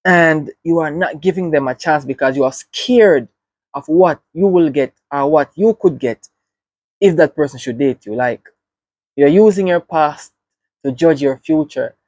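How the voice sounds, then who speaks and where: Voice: mid-range voice, a little slow, darkish tone, no echo. A reaction video host with a Jamaican accent, giving earnest, reflective commentary and often checking in with "right?".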